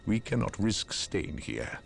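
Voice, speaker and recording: Imperious male inquisitor, low and gravelly, swooping emphasis, clipped authority, game cutscene with music. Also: big pitch swings, slow pace, full character voice. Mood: urgent, commanding. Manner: commanding, clipped, condescending. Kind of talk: dramatic video game cutscene acting. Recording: music bed under the voice.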